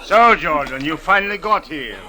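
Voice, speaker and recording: An actor playing a stern, suspicious police captain who speaks with formal, precise, sardonic diction in a 1940s radio drama. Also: male voice, on the high side, animated, normal speed, natural voice. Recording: old radio recording.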